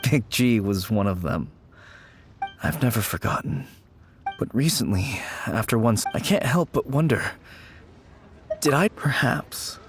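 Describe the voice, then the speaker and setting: A man voicing an anxious, regretful male character in a video game, earnest and halting. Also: medium pitch, expressive, a little hiss or hum, lightly acted. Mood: troubled, regretful. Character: anxious, regretful man haunted by his past.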